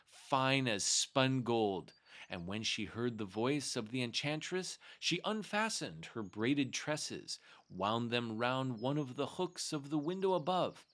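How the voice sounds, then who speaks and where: Male storyteller, medium pitch, expressive, measured, scripted fairy-tale narration.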